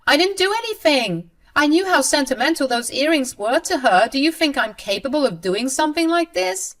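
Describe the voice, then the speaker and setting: Give me a woman playing a high, whining, scheming mother-in-law who pleads loudly. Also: moderate pace. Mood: indignant. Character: scheming older mother-in-law, whiny and self-pitying.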